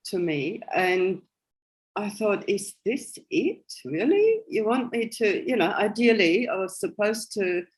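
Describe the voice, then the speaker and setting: A woman's voice: medium pitch, big pitch swings, unhurried. A German-accented woman in her sixties, a guest telling her life story in casual conversation and often laughing along the way. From West Germany. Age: 60s. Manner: chatty and self-amused, laughing often between thoughts.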